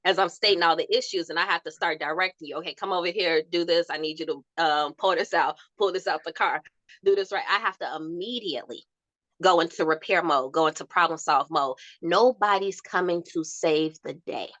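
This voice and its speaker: A young woman with a soft yet bright, clear-cut voice, a little lower than most women. She tells stories in a candid podcast chat and acts out the lines of the people in them. The sound is close and dry. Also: a little fast, dry recording, dull audio. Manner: animated storytelling with laughs and acted-out quotes.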